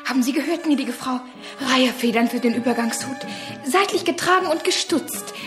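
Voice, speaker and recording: High-voiced, gushing society lady. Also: animated, fairly quick. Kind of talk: scripted radio drama acting. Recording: loud music under the voice.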